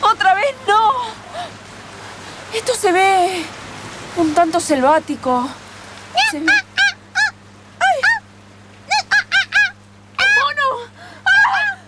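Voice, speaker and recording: A woman acting a naive, excitable young city traveler in improvised radio theatre, with an Argentine accent and a melodramatic, exclamatory delivery. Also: very high-pitched, slow pace, long pauses, very noisy, heavily acted. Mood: alarmed, amazed.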